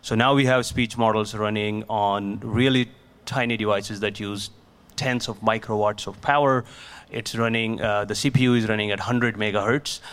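A man's voice: fairly low pitch, fairly even intonation, moderate pace, fairly bright tone, clean tone. A man who founded a startup, answering interview questions in a technical, explanatory way and often restarting his sentences.

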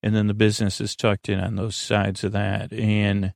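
A male podcast host with a creaky, gravelly voice, recorded close and dry. He talks casually in a halting, wandering way, drifting into tangents as he eases listeners toward bedtime. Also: fairly low pitch, completely flat delivery, dry recording. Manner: meandering, halting, trails off into tangents. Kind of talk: rambling bedtime podcast intro, casual monologue.

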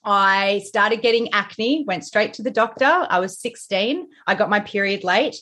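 A woman's voice: medium pitch, moderate pace, bright, forceful tone. A woman hosting a podcast, chatty and friendly, with frequent ums. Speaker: podcast host. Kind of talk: podcast interview.

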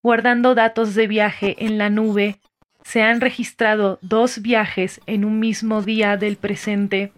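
A woman voicing a calm time-travel bracelet computer that announces dates and data in a flat, even way. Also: medium pitch, slow, close to an everyday voice. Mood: announcing.

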